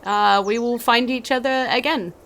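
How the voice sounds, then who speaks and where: A woman's voice: fairly high pitch, fairly even intonation, normal speed, fairly bright tone. A woman with a New Zealand accent, the game master of a tabletop podcast, thanking supporters in a chatty, giggly way with many fillers.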